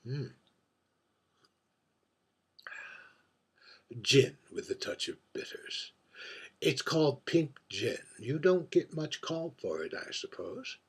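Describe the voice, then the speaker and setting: An aging drag queen , acted out by a man, holding forth from a barstool in a stage monologue. The voice is husky and slow, mid-pitched for a man. The manner is camp and world-weary, wry and musing, with drawn-out theatrical phrasing. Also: full character voice. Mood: chatty, coy. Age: older. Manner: camp, musing, tag questions and endearments.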